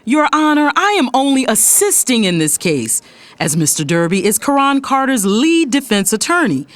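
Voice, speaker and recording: A woman's voice: high voice, very animated, dry recording. An actress playing a determined female attorney and elder sister in a dramatized audiobook. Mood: composed, formal. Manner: formal, measured in court.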